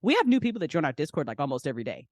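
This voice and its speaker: A woman's voice: sits low, sing-song, racing, darkish tone, no echo, clean studio sound. A woman chatting casually as an interview guest, effusive and emphatic, with slangy, repetitive turns of phrase. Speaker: guest, fiction podcast writer and creator. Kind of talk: animated casual interview chat.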